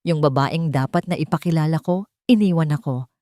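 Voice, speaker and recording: A woman's voice: sits low, fairly quick, warm tone, no room sound, close to an everyday voice. Woman voicing a curt, commanding male CEO. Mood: urgent, commanding. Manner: curt, businesslike, unemotional. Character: cold, commanding, desperate billionaire male CEO.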